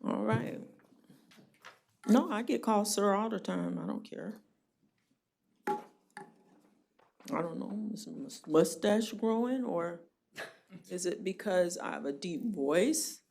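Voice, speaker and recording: A woman judge running a jail court hearing, brisk and plainspoken with a dry joking streak. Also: medium pitch, lively intonation, gravelly, darkish tone, slight background noise.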